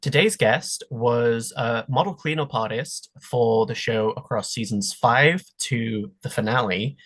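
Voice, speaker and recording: A man's voice: mid-range voice, fairly bright tone, no room sound. Dry-sounding male interview host. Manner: measured, scripted-sounding introductions. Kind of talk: hosted interview.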